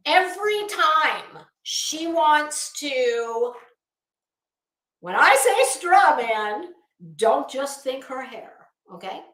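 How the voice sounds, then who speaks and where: A woman's voice: high voice, animated, very slow, a bit bright, reverberant, low-quality recording. A woman delivering an opinionated online commentary rant, blunt, scolding, emphatic and sweary. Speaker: online commentary video host.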